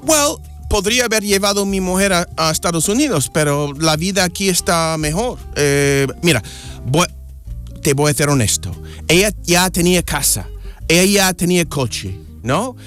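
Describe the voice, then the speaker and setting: A man from the United States, a native English speaker, chatting in Spanish with an American accent as an interview guest, halting and restarting words. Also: faint music behind. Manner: halting, restarts words, animated pitch swings. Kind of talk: casual interview chat.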